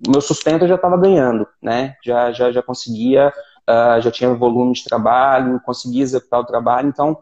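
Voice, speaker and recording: A man's voice: mid-range voice, brisk, darkish tone. Hesitant Brazilian man, dull sound. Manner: hesitant, repeats words often. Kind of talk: casual panel chat.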